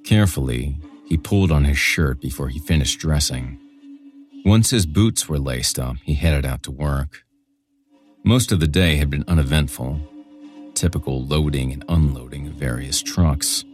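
Very deep-voiced man narrating horror. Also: normal speed, faint music behind. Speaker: host and narrator of a horror fiction podcast. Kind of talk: scripted horror story narration.

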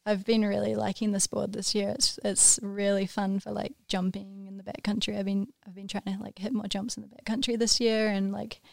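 A young woman from New Zealand with a New Zealand accent, chatting casually as a podcast guest in a laid-back way, full of "like" and quick laughs. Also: medium pitch, level tone, gravelly, a little mellow, no echo. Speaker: podcast guest, pro snowboarder. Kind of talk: casual chat.

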